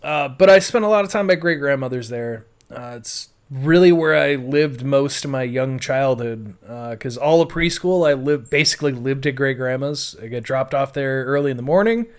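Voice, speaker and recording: An American man telling his life story casually as a podcast host, rambling and swearing freely. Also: on the high side. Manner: rambling, foul-mouthed.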